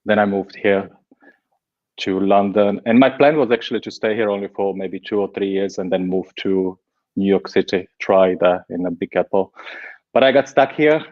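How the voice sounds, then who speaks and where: A man's voice: deep voice, normal speed. Man in his forties, lo-fi sound. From Slovakia. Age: 40s. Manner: chatty, laughs easily, many fillers and restarts. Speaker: guest. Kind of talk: casual interview talk.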